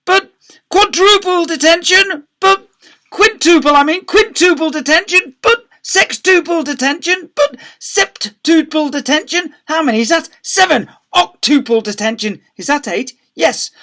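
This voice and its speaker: A man's voice: very high voice, measured pace, sharp tone, pitched above the performer's own voice, full character voice. A man voicing a furious, power-mad woman deputy head from a children's story, bellowing and ranting.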